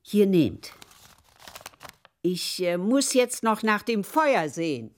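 An actress playing a devout, tender, grieving servant woman in a German radio play, moving between hushed and insistent delivery. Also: medium pitch, sing-song, slow. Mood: proud, eager.

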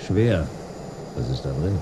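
An actor playing a laconic, deadpan detective who narrates his own case in a noir radio drama. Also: unhurried. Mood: cool, sardonic. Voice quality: very deep, gravelly. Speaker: narrator and lead character. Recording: engine noise behind the voice. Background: vehicle engine rumble.

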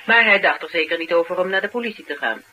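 A man's voice: high-pitched, fast talker, pushed and bright, exaggerated voice, rough recording. An actor playing an attentive, probing man who asks short questions in a radio play. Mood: probing, thoughtful. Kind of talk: naturalistic radio drama dialogue.

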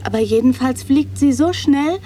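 A woman's voice: high-pitched, normal speed, mellow, slight background noise, close to an everyday voice. An actress playing a naive, dreamy young woman in a radio drama, speaking in an earnest, artless and insistent way. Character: naive, dreamy, poor young woman, eager and literal-minded. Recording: studio radio drama with background ambience.

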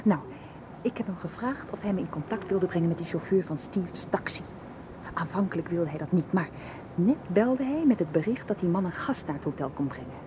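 A woman's voice: on the low side, lively intonation, fairly quick, natural voice. A woman acting in a radio drama as a determined, businesslike amateur investigator who presses insistently. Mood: resolute. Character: determined, brisk woman investigating, taking charge. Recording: noisy radio play with vehicle ambience.

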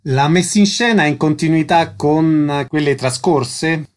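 A man's voice: fairly high pitch, unhurried. A man working as a radio interviewer, delivering concise scripted questions and announcements.

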